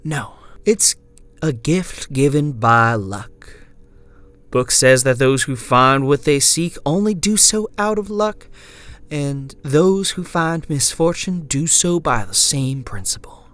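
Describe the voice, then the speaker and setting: A man's voice: on the high side, lively intonation, slow pace, a bit bright, voice pushed up. A man voicing a devout woman of a frontier cult in a horror story, earnest and insistent. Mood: pious, insistent. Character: devout, hungry female cult follower, chaos-worshipping zealot.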